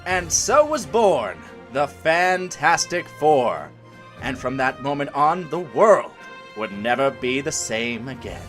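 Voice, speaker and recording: A man acting as the portentous narrator of a comic book audio drama, measured and dramatic. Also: mid-range voice, big pitch swings. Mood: solemn, grand. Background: music.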